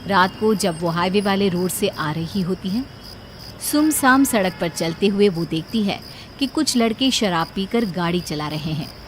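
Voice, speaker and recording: A woman's voice: medium pitch, expressive, measured pace, a little hiss or hum. A woman reading scripted story narration, with music behind her voice.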